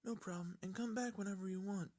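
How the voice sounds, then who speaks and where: A gentle young female pony as a male reader puts her on speaks in a raised, soft voice. She is timid and hesitant, a shy storybook character who chooses her words carefully. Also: sits high, steady tone, fast talker, pitched above the performer's own voice. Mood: gentle, sweet. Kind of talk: fan fiction character voice.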